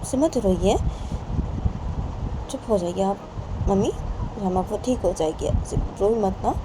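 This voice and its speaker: A woman's voice: mid-range voice, lively intonation, a little slow, background noise, natural voice. A woman voicing a young daughter who politely begs her crying mother to stop. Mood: pleading. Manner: polite, pleading.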